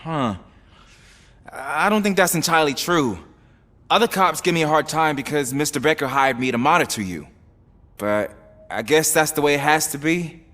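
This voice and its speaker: Game rookie officer: put-upon, earnest, defensive, on the higher side. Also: male voice, animated, moderate pace, long pauses, fairly bright tone. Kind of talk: video game voice acting.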